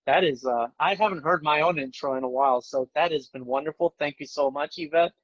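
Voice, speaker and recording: Male podcast guest, American accent, higher-pitched, conversational explainer, muffled lo-fi recording. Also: brisk, dry recording. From born in India, moved to the United States young. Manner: explanatory, checks in with "right?". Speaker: podcast guest, networking expert. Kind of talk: conversational interview answers.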